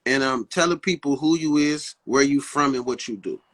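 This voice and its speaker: A man hosting a casual interview in slangy speech, haltingly repeating phrases as he builds his questions. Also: mid-range voice, fairly bright tone. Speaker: interviewer, host.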